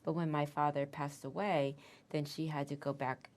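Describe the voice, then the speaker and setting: An American woman in her fifties with a notably low, deep voice speaks softly and reflectively in an interview. She pauses often and restarts her words, taking her time as she recalls things. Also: little pitch movement. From Korea, raised in the United States. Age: 50s. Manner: soft-spoken, thoughtful, with hesitant restarts. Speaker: interviewee, Korean adoptee.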